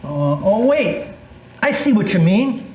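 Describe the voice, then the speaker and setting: A man's voice: high voice, sing-song, takes it slowly, darkish tone, full character voice. An older man playing a skeptical, wisecracking older friend with a folksy manner in an amateur readers theatre piece. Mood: bemused. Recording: roomy echo with faint noise.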